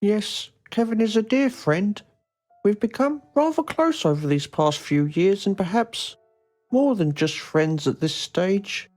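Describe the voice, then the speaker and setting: A woman's voice: mid-range voice, animated, a little slow, a little mellow, faint music behind. Woman putting on an oddball manchild for a game, earnest oversharer, very up and down voice. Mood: coy. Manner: earnest, quaintly formal, oversharing. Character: odd, lonely, long-confined middle-aged son.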